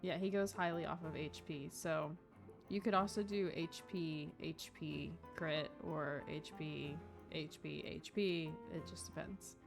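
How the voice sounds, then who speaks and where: A woman's voice: low voice, steady tone, unhurried, vocal fry. A woman livestreaming a video game, chatting casually in a rambling, easygoing way about play. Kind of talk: casual chat, gameplay commentary. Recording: game music behind. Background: video game music and character voices.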